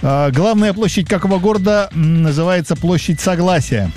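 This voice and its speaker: A male radio host with a somewhat raised voice, firing off quiz questions in a brisk, matter-of-fact way. Between rounds he slides into chatty, joking asides, with faint music beneath. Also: sits high, darkish tone. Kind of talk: casual radio hosting, reading quiz questions.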